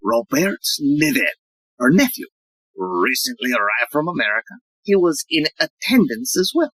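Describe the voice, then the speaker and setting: A woman playing a pompous, self-important police inspector in a theatrical audio play, lecturing with dramatic pauses. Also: deep voice, big pitch swings, fairly bright tone, husky, dry recording, heavily acted. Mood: briefing.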